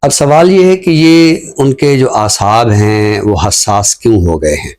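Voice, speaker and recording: A middle-aged man with a Pakistani accent gives reflective social commentary, explaining deliberately and pausing mid-sentence. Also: mid-range voice, darkish tone. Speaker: literary talk show commentator.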